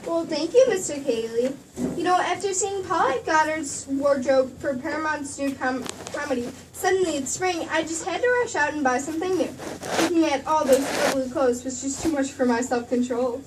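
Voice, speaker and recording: A gushing 1940s Hollywood gossip reporter, acted by a woman, high-pitched and chic. She delivers old radio ad banter slightly off-mic with faint noise. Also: normal speed, distant mic, lightly acted.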